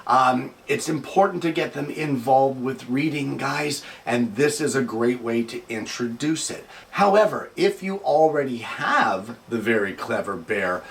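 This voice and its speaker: A man hosting a show for families and reading a children's picture book aloud in an expressive, measured way. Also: medium pitch. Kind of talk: casual introduction, then expressive storybook read-aloud.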